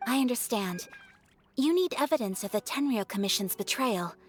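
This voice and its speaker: A composed young noblewoman speaks in a measured, formal voice, a touch higher than most. Faint game music sits behind her. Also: expressive, slightly rough. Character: poised young noblewoman, calm and thoughtful. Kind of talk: game cutscene dialogue.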